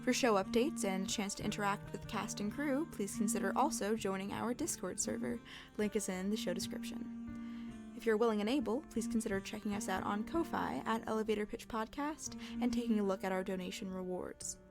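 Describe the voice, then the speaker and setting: A woman's voice: medium pitch, a little fast, creaky. Woman reading credits over guitar music. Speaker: podcast credits announcer. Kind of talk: scripted credits narration. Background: plucked guitar music.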